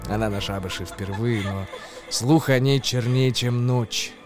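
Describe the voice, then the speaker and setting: An actor playing a wry, agitated storyteller in a radio play, reciting a ghoulish verse tale in an expressive, theatrical manner. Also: male voice, medium pitch, measured pace, lightly acted. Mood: wry dread. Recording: music behind the voice. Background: music.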